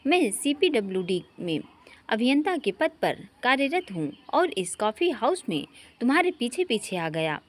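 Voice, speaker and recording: A woman's voice: a little high, very animated, normal speed. A woman storyteller voicing a teasing, affectionate male engineer talking familiarly to an old college friend. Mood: joking. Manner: playful, familiar.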